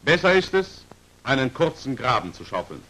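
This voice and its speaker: A man's voice: mid-range voice, very animated, moderate pace, sharp tone, low-quality recording. Formal 1940s training film narrator, man. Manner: formal, precisely articulated, instructional.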